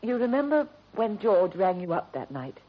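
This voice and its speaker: An actress playing a worried, shrewd and loyal British wife in a period radio drama, earnest, deliberate and probing. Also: female voice, medium pitch, sing-song. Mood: uneasy, probing. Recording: dull vintage radio recording, faint hiss.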